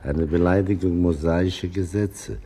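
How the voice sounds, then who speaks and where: An actor playing a gruff older would-be writer in a radio play, with a gravelly, husky voice and a wry, theatrical manner. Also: male voice, low-pitched, measured pace, warm tone, full character voice. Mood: sardonic.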